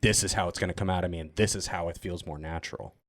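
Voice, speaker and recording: A man's voice: low-pitched, fairly even intonation, quick pace, no echo, studio quality. A man, one of a cast of players, talking casually in a panel discussion.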